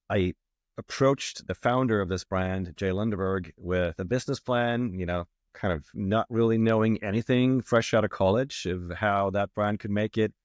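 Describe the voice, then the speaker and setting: A middle-aged man from Sweden with a Swedish accent, a marketing executive talking about his career in a relaxed, reflective way with many fillers and restarts. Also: fairly low pitch, dry recording, clean studio sound. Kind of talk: casual interview talk.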